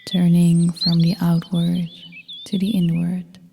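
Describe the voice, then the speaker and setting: A woman guiding a meditation as a yoga nidra instructor, speaking in a soft, breathy half-whisper with a calm, gentle, soothing manner. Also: lowish voice, takes it slowly. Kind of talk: guided meditation narration. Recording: long reverb, faint noise with birdsong.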